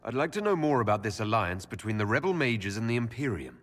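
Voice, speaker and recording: A wary young envoy in a fantasy game. His voice is low and lively in pitch, firm yet diplomatic, and it echoes with long hall reverb. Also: quick pace, soft background music. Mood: probing.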